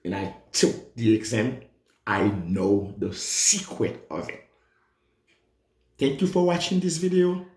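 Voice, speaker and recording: Guy tutoring for a nursing exam, lively pitch, slow deliberate pace, keeps repeating key points. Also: roomy sound. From Haiti. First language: Haitian Creole. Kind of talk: lively exam review lesson.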